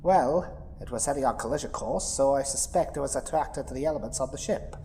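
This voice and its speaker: A man's voice: medium pitch, brisk, warm tone, slight background noise, close to an everyday voice. Curt, precise male starship chief acted. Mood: cautious. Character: strict, cautious starship chief engineer. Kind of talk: sci-fi audio drama dialogue.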